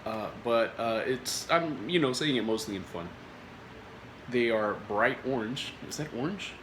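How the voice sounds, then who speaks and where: A man's voice: medium pitch, animated, unhurried. Rambling man reviewing snacks, roomy echo. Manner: rambling, many filler words and restarts. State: recovering from a cold. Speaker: food reviewer. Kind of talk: casual product review vlog. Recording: echoey room with faint noise.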